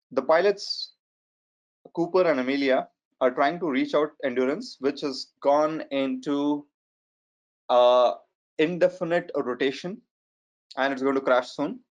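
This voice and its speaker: A man in his forties with a strong Indian accent, giving an online lecture in a measured, hesitant way with fillers. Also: mid-range voice. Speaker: data science trainer. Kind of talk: webinar lecture. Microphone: computer mic on a video call. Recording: slightly muffled online webinar audio.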